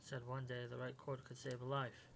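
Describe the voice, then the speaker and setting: A man's voice: mid-range voice, completely flat delivery, moderate pace, creaky. A man playing an earnest, wistful companion in a fan audio drama.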